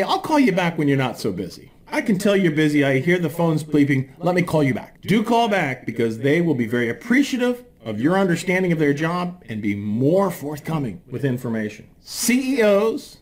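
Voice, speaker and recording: A man presenting an instructional sales talk as a trainer, emphatic and directive, with deliberate phrasing. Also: a little high.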